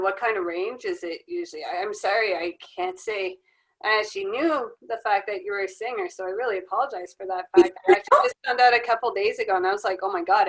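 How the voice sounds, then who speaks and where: Podcast host lady from canada, lots of ums, middle pitch, easygoing. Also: rough recording. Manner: hesitant, many fillers. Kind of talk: casual chat.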